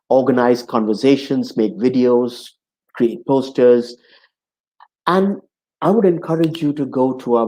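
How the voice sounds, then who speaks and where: A man in his sixties hosting a conversation show, speaking in an earnest, measured way from his home office. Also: mid-range voice, dark tone, poor audio. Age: 60s. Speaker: host. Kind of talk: hosted conversation opening monologue.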